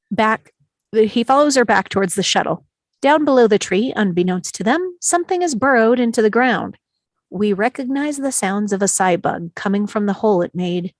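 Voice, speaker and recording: A woman's voice: a little high, no room sound. A woman reading scene directions as the narrator of a live script table read.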